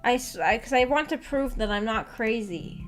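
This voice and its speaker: A young woman with a fairly high voice hosts a casual livestream. She rambles along in a giggly, self-deprecating manner, talking to her viewers. Soft music plays behind her. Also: lively intonation, normal speed. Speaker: livestream host.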